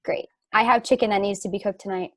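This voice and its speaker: A woman's voice: medium pitch, steady tone, fast talker, natural voice. A woman playing an unsuspecting, friendly young wife in a table reading of a stage play. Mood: pleased, friendly.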